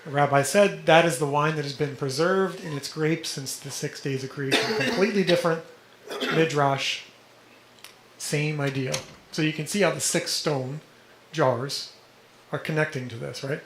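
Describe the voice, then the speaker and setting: A fairly high-voiced man leads a study group, a little hoarse, pausing to ask if things make sense as he works through quoted passages. The room is echoey with a faint hum of background noise. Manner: explanatory, quotes texts, checks in with "right?". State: slightly hoarse, coughing. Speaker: teacher. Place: indoor room with a vent.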